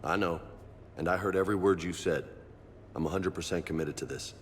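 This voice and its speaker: A man's voice: low voice, a little fast, slight background noise, natural voice. A man voicing a brash, friendly young soldier and gym buff in a video game, cocky and slangy, with bits of Spanish. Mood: casual, sincere.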